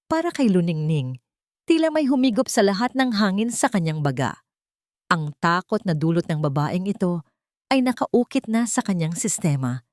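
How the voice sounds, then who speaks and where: A woman with a Filipino accent reads a dramatic romance story in a measured, scripted narration style. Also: mid-range voice, a little mellow, no echo, studio quality.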